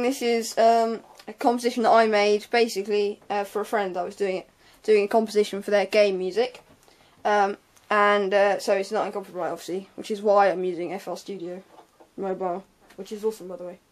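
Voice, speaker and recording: A woman's voice: mid-range voice, fairly even intonation, fairly quick. A young woman with a mid-range voice presents a casual technical how-to. She talks off the cuff, hesitating often and tacking on offhand asides that trail away. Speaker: sound tech tutorial presenter. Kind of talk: informal unscripted hands-on tutorial.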